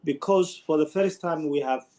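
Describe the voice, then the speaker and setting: A man, a participant in an online panel, who explains at length in an earnest, halting way with many restarts. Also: a little high, warm tone. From Somalia. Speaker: conference participant asking a question.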